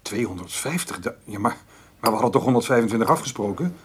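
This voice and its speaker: A man's voice: fairly low pitch, animated, fast, background noise, lightly acted. A man playing a grumbling, dutiful farmer in a radio drama, often hesitant and grudging. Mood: wary.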